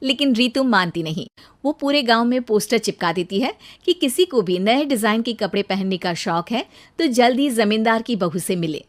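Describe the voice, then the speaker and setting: A woman narrates an animated tale from a script. Her voice is high, and she tells it as the storyteller between the dialogue. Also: normal speed.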